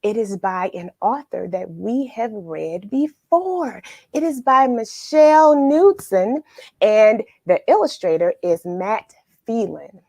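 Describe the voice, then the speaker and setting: A woman hosting a live read-along for children, chatting with viewers in an upbeat, excited manner. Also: medium pitch, slow, gravelly, no room sound, studio quality.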